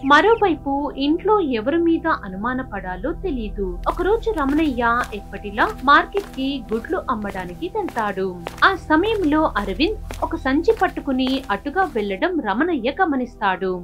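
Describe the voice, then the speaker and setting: A woman's voice: high voice, big pitch swings, a little slow, soft background music. A woman narrating a scripted moral story for children.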